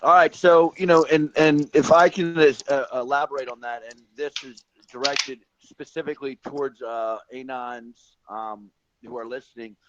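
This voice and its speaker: A man's voice: medium pitch, fairly even intonation, normal speed, dull audio, noisy background. A man hosting an online talk show, rambling with many restarts. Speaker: host.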